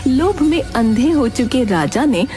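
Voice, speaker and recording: A woman's voice: sits high, big pitch swings, a little slow, music in the background. A woman reading scripted storybook narration as the narrator of a moral tale.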